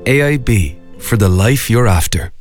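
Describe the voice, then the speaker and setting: A man's voice: fairly low pitch, expressive, music in the background. Earnest male sponsor-ad narrator. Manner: earnest and measured. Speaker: advert voice-over.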